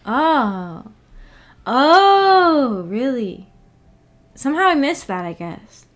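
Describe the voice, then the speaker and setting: A woman with a somewhat high voice chats casually as a livestream host. She rambles informally through her thoughts and has a giggly manner. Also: big pitch swings, extremely slow. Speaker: streamer hosting a book club discussion.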